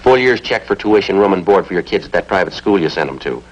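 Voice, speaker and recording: An actor playing a stern, methodical American police sergeant in a 1960s TV procedural, with a clipped, deadpan, matter-of-fact delivery. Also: male voice, deep voice, fast talker, dull audio. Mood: firm, relentless. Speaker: actor in a television police drama.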